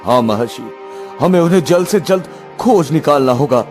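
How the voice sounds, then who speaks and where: A man voicing an agitated, commanding king and protective father in a cartoon fairytale, giving urgent, forceful lines. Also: fairly high pitch, expressive, normal speed, soft background music, close to an everyday voice.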